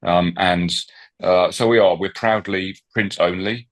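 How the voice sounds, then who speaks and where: Deep-voiced middle-aged guy on a podcast, lots of ums and restarts, easygoing chat. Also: steady tone, a little slow. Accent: non-local, not from Swansea. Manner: hesitant, many fillers and restarts. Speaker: podcast guest, newspaper founder. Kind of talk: casual conversational interview.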